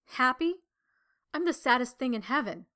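A woman's voice: sits high, lively intonation, moderate pace, pushed and bright. A woman voicing a lovelorn young weaving maiden of heaven in a storybook, plaintive and formal. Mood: despairing.

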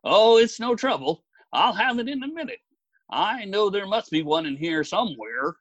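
A woman voicing a fussy, excitable man who blusters and mutters as he gets exasperated, in a storybook reading. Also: mid-range voice, normal speed, no echo, lo-fi sound, pitched below the performer's own voice. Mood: eager, flustered. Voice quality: husky, whining. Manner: blustering, muttering, oath-prone.

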